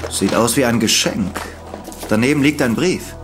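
An actor playing a helpful, matter-of-fact police officer in a German audio drama. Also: male voice, mid-range voice, normal speed, music in the background, close to an everyday voice. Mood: obliging, curious. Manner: helpful, factual, discreet.

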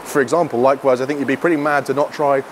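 A young British man talks through a car review in a wry, opinionated, chatty way, his pitch sitting in the middle of the male range. He records on a clip-on mic, mostly from the driver's seat. A faint outdoor hum of traffic runs under his voice. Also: steady tone, racing. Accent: British English. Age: young adult. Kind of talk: casual presenting to camera. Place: inside a car while driving, later outdoors at a charger.

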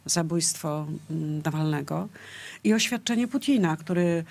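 An older woman commenting on a studio panel, pausing often with filler sounds between phrases. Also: sits low, vocal fry, darkish tone. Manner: hesitant, many filler sounds. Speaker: journalist panel guest.

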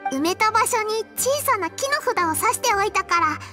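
A woman's voice: very high-pitched, animated, a little fast. A woman voicing a sweet, eager little girl adventurer in an anime-style game, childlike and earnest. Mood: bright, eager. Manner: childlike, earnest, refers to herself by name. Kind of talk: Japanese anime-style game dub acting. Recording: music behind.

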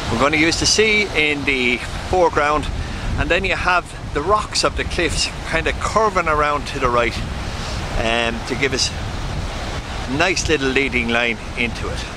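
A man's voice: on the high side, moderate pace, noisy background. A man giving an informal, explanatory guide to locations as the host of a photography vlog.

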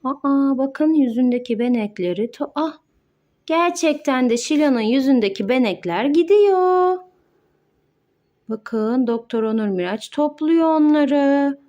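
A woman's voice: sits high, animated, a little slow, dark tone, dull audio. A woman playing with her child, narrating toy doctor play in a sing-song, encouraging way and explaining each step.

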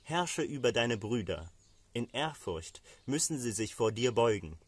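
A man's voice: medium pitch, lively intonation, unhurried, husky, no echo. A man voicing an old father in a Bible story, a solemn patriarch who speaks in formal pronouncements.

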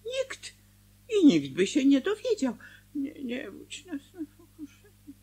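An actress playing a fretful, worried wife in a radio play, speaking in a hesitant, emotional conversational way. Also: female voice, high voice, huge pitch swings, unhurried, dark tone, heavily acted. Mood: anguished temptation. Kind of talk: Polish radio play acting.

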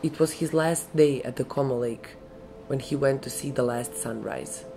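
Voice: medium pitch, moderate pace, creaky, noisy background. A narrator reading a scripted fairytale story. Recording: music behind the voice.

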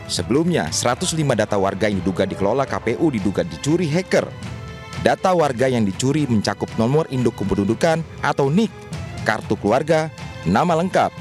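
A man's voice: medium pitch, darkish tone. A man reading a scripted TV news report as voice-over. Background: news background music.

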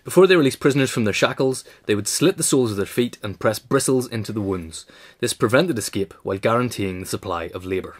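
A man's voice: fairly low pitch, fast talker. A man narrating a scripted essay as its reader.

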